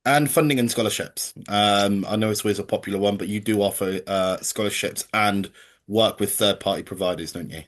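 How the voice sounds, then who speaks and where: A man's voice: fairly low pitch, a little fast. A man hosting a webinar, chatting casually as he passes audience questions to the panel. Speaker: webinar host. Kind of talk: moderating a webinar Q&A.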